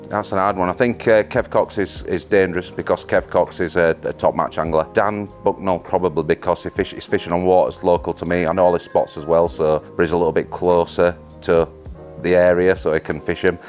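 Deep voiced angler dude, frank and plain, lapel mic, light music under. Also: male voice, steady tone, fairly quick, darkish tone. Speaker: angler interviewee. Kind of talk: casual interview answers. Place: indoor room.

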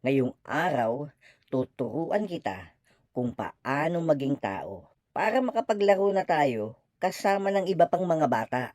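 A man's voice: on the high side, sing-song, measured pace, a little mellow, dry recording, clean studio sound, voice pushed up. A man voicing an earnest, hopeful little girl in a children's storybook, talking in an eager, childlike way. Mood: coaxing.

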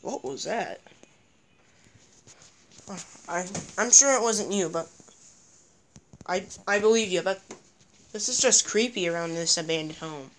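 A woman's voice: medium pitch, animated, measured pace, pauses often, slight background noise. A woman filming a ghost-hunting vlog on a handheld phone inside a dim house, exclaiming and repeating herself as she looks around. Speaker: vlogger exploring a house. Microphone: handheld phone camera.

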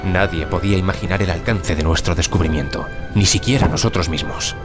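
An actor playing an awed, reflective archaeologist in an audio drama, speaking with solemn, measured wonder. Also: male voice, low voice, lightly acted. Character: Howard Carter, English archaeologist, awed, intense, reflective. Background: music behind the voice.

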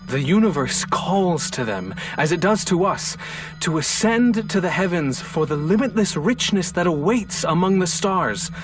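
A man's voice: sits high, expressive, distant mic, over music. A man playing an earnest, philosophical space station scientist, speaking formally in audio logs for a sci-fi game. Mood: solemn. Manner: formal, measured, contemplative. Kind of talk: scripted audio log monologue for a sci-fi game.

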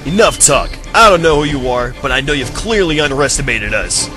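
A man playing a proud, hot-tempered guardian echidna in an amateur radio play, with angry shouted outbursts. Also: mid-range voice, sing-song, fairly quick, over music. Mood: angry defiance.

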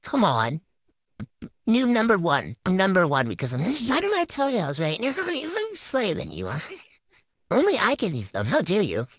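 Giggly bragging woman, lively pitch. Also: no echo. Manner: giggly, silly, bragging, talks in teen slang. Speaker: game video voice-over. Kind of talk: playful improvised banter voicing game characters.

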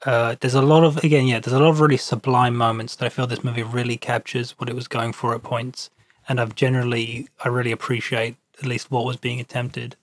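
A man's voice: mid-range voice, little pitch movement, a little fast, no echo. A man on a podcast panel, casually discussing a film.

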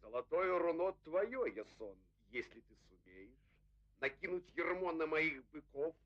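A man's voice: fairly high pitch, big pitch swings, measured pace. An actor playing a proud, cunning king of legend in an old Soviet cartoon, grand and mocking in delivery. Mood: mocking, commanding. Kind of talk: theatrical Soviet cartoon acting. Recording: dull vintage cartoon soundtrack.

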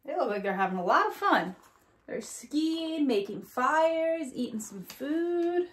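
A woman in her thirties with a fairly high voice reads a children's picture book aloud as a teacher would. She speaks straight to her young listeners, adding asides and checking in with short questions. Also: big pitch swings, a little slow. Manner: addresses young listeners directly with questions and tag "right?". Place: small indoor room at home or classroom corner.

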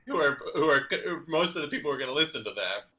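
Man in his thirties, sharp bright tone, video call host, rambling animated questions. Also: a little high, fast. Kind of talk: casual interview. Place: home kitchen. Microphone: video call webcam.

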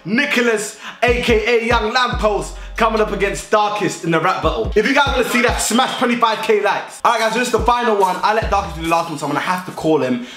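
A young man hosts with loud, animated, slang-heavy banter. His voice is pitched high. The room is echoey, with music playing behind. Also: quick pace, hardly any pauses. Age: young adult. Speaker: YouTuber host. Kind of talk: excitable prank-call vlog banter. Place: small room indoors.

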